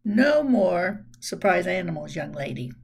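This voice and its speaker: A woman storyteller voicing a firm, exasperated but amused father in a children's story, deliberate and mock-stern. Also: lowish voice, big pitch swings, vocal fry, slightly rough, pitched below the performer's own voice, full character voice.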